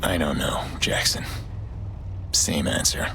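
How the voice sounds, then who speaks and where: An actor playing a gruff, weary middle-aged vigilante hacker in a video game, terse and guarded, with a gravelly, breathy voice. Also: male voice, slow pace, background noise, heavily acted. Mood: regretful. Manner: terse, low-key, brooding. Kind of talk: dramatic game cutscene acting. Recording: music behind the voice.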